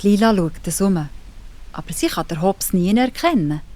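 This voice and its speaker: A woman with a Swiss German accent narrating a children's story in an expressive, explanatory manner. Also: medium pitch, moderate pace, no room sound.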